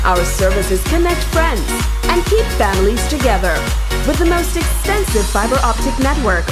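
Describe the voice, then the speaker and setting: Animated woman announcer over loud electronic music. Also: fairly high pitch. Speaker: advertisement announcer. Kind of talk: scripted commercial voice-over.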